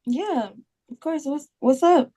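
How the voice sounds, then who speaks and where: A woman's voice: sits high, slow pace, warm tone. A woman playing an easygoing, helpful friend who chats casually in a table read. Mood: friendly.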